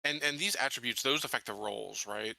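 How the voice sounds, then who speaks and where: A man's voice: medium pitch, a little fast, vocal fry, pushed and bright, dry recording, low-quality recording. A man playing a tabletop game, thinking choices through aloud in a tentative, questioning way. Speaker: tabletop roleplaying player.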